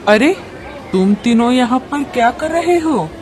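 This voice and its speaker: A woman's voice: high voice, expressive, unhurried, a little mellow, some chatter behind. A woman voicing a busy, kindly father crow who patiently explains things in a cartoon story.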